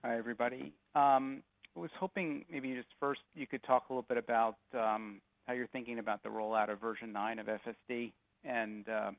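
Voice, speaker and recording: A man's voice: mid-range voice, fairly even intonation, brisk, vocal fry, no room sound. A man asking a question as a financial analyst on an earnings call. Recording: dry narrow phone line.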